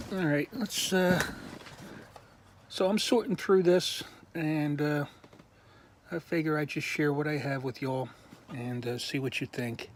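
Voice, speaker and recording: Guy going slow showing his toy collection, lots of ums, throat clearing, voice going, faint hiss behind. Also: fairly high pitch, fairly even intonation. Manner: slow, halting, many ums and uhs, frequent throat clearing. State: losing his voice. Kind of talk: casual show-and-tell.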